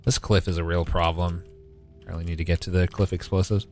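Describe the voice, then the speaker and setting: A man in his twenties with a deep voice gives casual, wry live gaming commentary and talks back to his chat. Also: little pitch movement, fairly quick, soft background music. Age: 20s. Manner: wry, joking, mild swearing, talks to chat. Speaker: game streamer.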